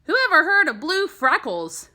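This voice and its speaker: A woman's voice: high voice, sing-song, normal speed, bright, forceful tone, pitched above the performer's own voice, heavily acted. A woman playing an eager, impulsive young schoolboy who talks in childlike exclamations and pleas. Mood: scoffing.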